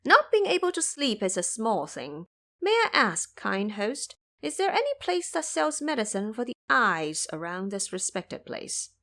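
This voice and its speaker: A woman's voice: fairly high pitch, huge pitch swings, unhurried, bright, forceful tone, heavily acted. A woman voicing a bold male monkey disciple with polite but boastful speech in an audio drama. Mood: courteous.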